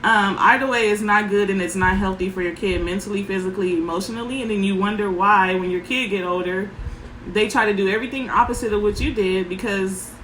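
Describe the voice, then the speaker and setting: A woman parenting coach with a soft voice, giving frank advice in short, emphatic sentences. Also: mid-range voice, a little fast, roomy sound, a little hiss or hum, poor audio. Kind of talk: casual advice talk.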